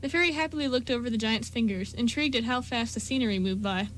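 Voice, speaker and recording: A woman's voice: on the high side, fast, slight background noise, low-quality recording. A woman reading scripted story narration for an amateur radio drama.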